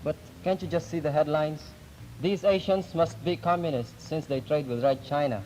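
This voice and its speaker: A teenage male youth delegate, speaking in a measured, explanatory way in a formal discussion, on a 1950s archival recording. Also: a little high, darkish tone, a little hiss or hum, rough recording. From Philippines. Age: teens. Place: public speaking event.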